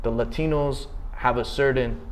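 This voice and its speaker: A man's voice: medium pitch, moderate pace, rough recording. A young man in his twenties from Canada chatting casually and slangily as an interview guest, in loose bursts. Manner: slangy, talks in loose bursts with frequent "like" and "you know". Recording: steady background noise.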